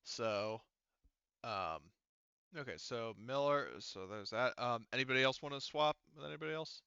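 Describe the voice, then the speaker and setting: A man's voice: mid-range voice, normal speed, gravelly, sharp tone, clear, resonant tone, dry recording, highs cut off. A man acting as game master in a casual online tabletop game, explaining things in a hesitant way.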